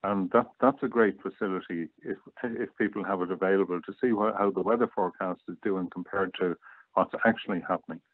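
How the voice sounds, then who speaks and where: An older Irish man being interviewed, reminiscing casually in a measured, easygoing way. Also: low-pitched, fairly even intonation, no room sound. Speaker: guest interviewee. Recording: thin phone-like call audio.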